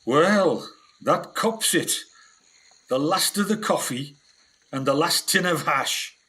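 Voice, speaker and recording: An actor playing a cynical, complaining research scientist on a jungle expedition in a radio play. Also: male voice, fairly high pitch, animated, slow, pushed and bright, full character voice. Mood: grumbling, sardonic. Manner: gruff complaining, sardonic, mild swearing.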